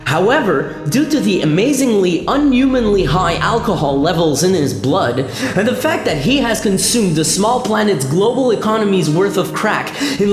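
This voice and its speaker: A woman reading scripted narration for a comic science-fiction radio play. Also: medium pitch. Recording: echoey, long reverb, music behind.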